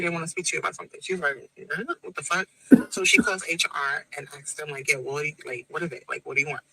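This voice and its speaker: A woman's voice: lowish voice, pushed and bright, poor audio. A woman with a West Indian accent tells a story in an angry, profane rant and acts out each person in it. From West Indies. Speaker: woman in a played social media clip.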